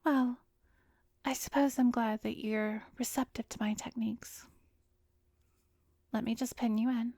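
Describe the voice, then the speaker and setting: A woman plays a reassuring female therapist in an ASMR roleplay. Her voice is soft and a little high, recorded very dry. She is polite and encouraging, with a gentle, soothing delivery. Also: fairly even intonation, a little slow, long pauses, no echo. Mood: soothing, pleased. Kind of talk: ASMR roleplay audio drama.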